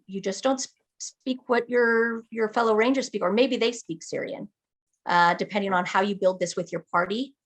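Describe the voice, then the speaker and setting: A woman guiding a new player through building a character. She explains each rule patiently, stops often to check they are following, and her pitch sits in a middle range. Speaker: game master guiding character creation. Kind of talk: explaining game rules.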